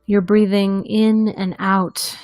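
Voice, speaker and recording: Very slow-speaking woman guiding meditation. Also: mid-range voice, level tone, dry recording, soft background music. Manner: gentle, deliberate, with long pauses. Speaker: meditation guide. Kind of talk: guided meditation.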